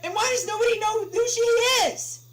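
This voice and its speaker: A woman voicing a furious male customer on a support call, ranting in a shrill shout. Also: fairly quick, distant mic. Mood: screaming. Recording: echoey room with noisy hum.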